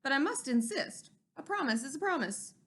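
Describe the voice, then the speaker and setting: A woman's voice: sits high, expressive, moderate pace, a bit bright. A woman voicing a kindly, eager male moose from a children's storybook, in an earnest, hearty and emphatic manner. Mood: politely insistent.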